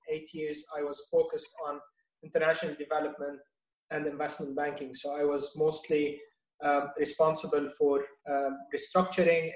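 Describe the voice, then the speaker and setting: Measured man from Lebanon, echoey room. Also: a little high, a little mellow. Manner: measured, with many fillers and restarts. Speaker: guest, venture investor. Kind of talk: interview answers.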